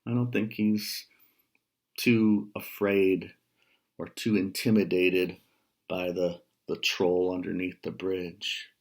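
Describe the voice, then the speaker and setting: An American man in his fifties tells a children's story in a fairly low voice. He goes very slowly, pausing for casual, explanatory asides to his young listeners. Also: level tone. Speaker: storyteller, writer. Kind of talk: children's picture book read-aloud with casual asides. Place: small room at home.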